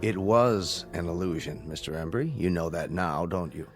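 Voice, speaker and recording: Calm, low-voiced American psychiatrist. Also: male voice. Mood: probing, reassuring. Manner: measured, probing questions. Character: calm, probing, reflective male psychiatrist. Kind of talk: radio drama acting. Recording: studio radio drama with faint music.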